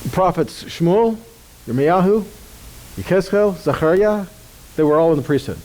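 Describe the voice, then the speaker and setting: A man teaches scripture in a relaxed, conversational way. His voice sits a little high and sounds warm and mellow. He often checks in with his listeners as he explains. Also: normal speed, poor audio. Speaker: ministry teacher.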